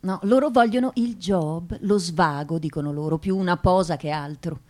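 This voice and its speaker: An actress as a disdainful well-bred young woman, lowish voice. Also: darkish tone, close to an everyday voice. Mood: disdainful, bitter. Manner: measured, precise, deliberate wording. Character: educated, disdainful young woman of impoverished aristocratic family. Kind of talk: theatrical radio drama monologue.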